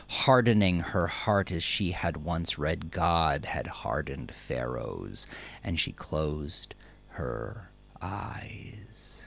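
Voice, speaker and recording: A man narrating dark fiction in a dramatic, measured, brooding way, with phrase endings that drop to a hush. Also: a bass voice, vocal fry, a little hiss or hum. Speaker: audiobook-style narrator. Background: music.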